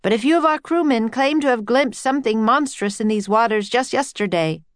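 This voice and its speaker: A woman's voice: a little high, a little fast, no room sound, pitched above the performer's own voice, lightly acted. A woman voicing an eager, friendly Victorian scientist man, earnest and explanatory, in a children's audiobook.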